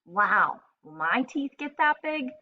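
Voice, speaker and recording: A woman's voice: on the high side, slow pace, phone-quality sound, pitched above the performer's own voice. Woman reading as a plucky baby girl hippo. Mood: excited, playful. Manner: excited, determined.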